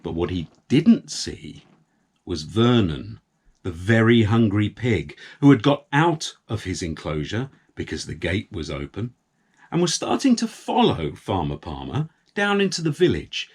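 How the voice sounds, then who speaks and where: A man narrating a story for young children, with deliberate, dramatic phrasing. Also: on the low side, very animated, darkish tone, husky.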